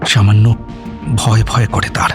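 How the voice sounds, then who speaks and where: A man narrates a horror suspense audio story in a measured, dramatic way with deliberate pauses. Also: on the low side, flat delivery. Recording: music under the voice. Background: background music.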